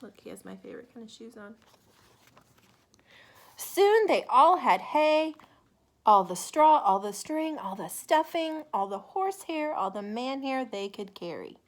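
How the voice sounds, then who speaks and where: Slow, animated, high-voiced woman storyteller. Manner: animated, deliberate, asks the listener questions. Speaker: children's story reader. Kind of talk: expressive picture-book read-aloud with asides to young listeners.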